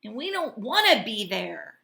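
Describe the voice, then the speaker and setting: A woman teacher reading a storybook aloud and talking to her students in an expressive, deliberate way. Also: fairly high pitch, creaky. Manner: expressive, deliberate, addressing children directly.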